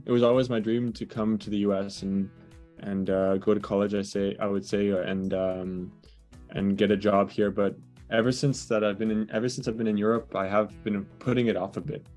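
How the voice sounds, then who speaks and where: Young male interview guest, low-pitched, halting, on a video call. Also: level tone, a little mellow, faint music behind. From born in the US, raised in France. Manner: hesitant, many fillers and restarts. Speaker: guest, digital nomad. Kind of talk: casual interview chat.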